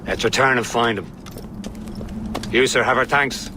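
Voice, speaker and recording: An actor playing a grave, composed assassin in a game, speaking in a serious, formal way. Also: male voice, medium pitch, a little slow, natural voice. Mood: grave, resolved. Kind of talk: dramatic game cinematic acting. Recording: loud outdoor ambient noise.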